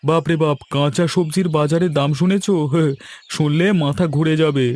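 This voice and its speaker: A man's voice: fairly high pitch, steady tone, normal speed, a little mellow, no room sound, natural voice. A man voicing a chatty, grumbling household husband in a cartoon, with exclamatory delivery. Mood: amused dismay. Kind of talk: cartoon dialogue acting.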